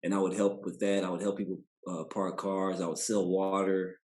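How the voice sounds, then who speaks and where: An American man in his thirties with a low voice talks casually as a podcast guest. His manner is laid-back and colloquial. The sound is roomy and echoey. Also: little pitch movement, moderate pace, darkish tone. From Indianapolis, United States. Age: 30s. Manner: colloquial, laid-back, often tags sentences with "you know". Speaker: podcast guest, basketball player. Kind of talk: casual interview chat.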